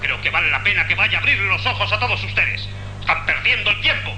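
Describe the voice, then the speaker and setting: Bossy angry police chief in a radio play, really sharp high voice, buzzy phone line. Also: male voice, animated, quick pace, exaggerated voice. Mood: angry, scolding. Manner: scolding, imperious. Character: furious, domineering male police commissioner. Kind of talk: radio drama acting. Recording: buzzy telephone line with faint hum.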